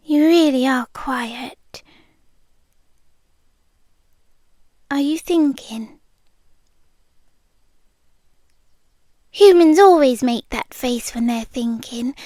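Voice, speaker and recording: A British woman playing a shy, smitten monster from under the bed in an ASMR roleplay, speaking softly and tenderly, often in whispers, close to the microphone. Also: high voice, animated, no room sound. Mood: bashful, wry. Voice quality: soft, breathy, often dropping into whispers. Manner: slow, hesitant, tender delivery. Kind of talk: ASMR roleplay, intimate monologue. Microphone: close microphone, dry.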